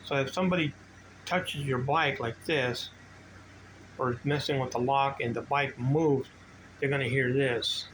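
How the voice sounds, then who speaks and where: A man's voice: medium pitch, fairly even intonation, unhurried, fairly bright tone, low-quality recording. An older man giving a casual, rambling show-and-tell, drifting between informal asides as he talks. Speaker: vintage bike collector demonstrating his gear. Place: indoor home workshop room. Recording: noticeable background noise.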